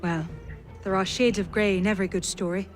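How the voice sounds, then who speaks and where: A woman's voice: mid-range voice, fairly even intonation, a little fast, soft background music, lightly acted. An actress playing a bold, witty young noblewoman in a video game, speaking in a wry, self-assured way. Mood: wry, curious. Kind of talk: video game dramatic acting.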